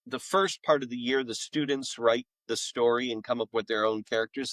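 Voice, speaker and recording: A man's voice: mid-range voice, a bit bright, no room sound, low-quality recording. A man talking casually as an interview guest, explaining creative work in a rambling way that often doubles back on itself. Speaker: interview guest, author and audio drama maker.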